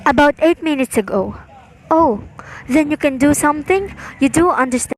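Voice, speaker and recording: Woman acting as high-pitched anxious invalid wife, demanding, easily exasperated, radio play, noisy recording. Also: expressive, moderate pace. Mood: anxious, fretful. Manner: fretful, indignant, insistent.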